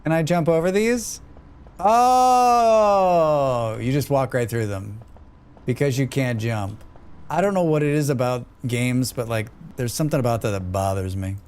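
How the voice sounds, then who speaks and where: A man's voice: medium pitch, expressive, measured pace, darkish tone. Scratchy-voiced man thinking aloud. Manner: thinking aloud, muttering while playing. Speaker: streamer playing a demo. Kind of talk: live gameplay commentary.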